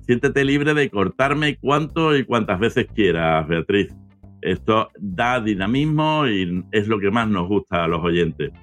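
Low-voiced man hosting a podcast. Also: animated, slow, fairly bright tone, faint music behind. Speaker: podcast host and interviewer. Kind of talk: conversational podcast introduction and interview.

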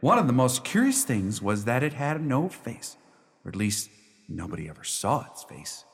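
A man's voice: mid-range voice, very animated, normal speed, vocal fry. An actor playing a proud young lawyer who tells his own ghost tale emphatically and theatrically in a radio play. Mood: wry. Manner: emphatic, storytelling. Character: proud half-Scottish, half-Yankee young male lawyer recounting his haunting. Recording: reverberant hall sound.

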